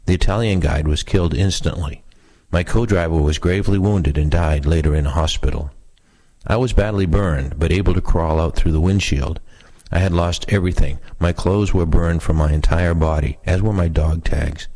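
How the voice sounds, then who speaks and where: Male reader for oral history narration, low-pitched voice. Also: moderate pace, mellow. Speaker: reader of veterans' recollections. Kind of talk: reading oral history aloud, scripted narration.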